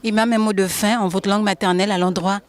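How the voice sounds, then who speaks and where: A woman asks her questions quickly and politely, in a middle-range voice. She keeps a formal TV interviewer's tone. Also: mid-range voice, steady tone.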